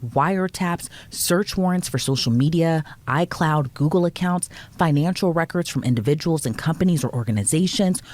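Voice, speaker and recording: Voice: mid-range voice, normal speed, hardly any pauses, a little mellow. A narrator reading a scripted news explainer with punchy, dramatic phrasing.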